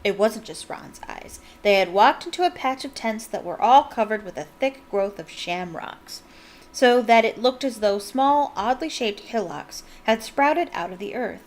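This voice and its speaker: A woman's voice: a little high, lively intonation, a little slow. A young adult woman reading a novel aloud as a fan host, breaking off for giggly, enthusiastic comments. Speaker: book reader and fan channel host.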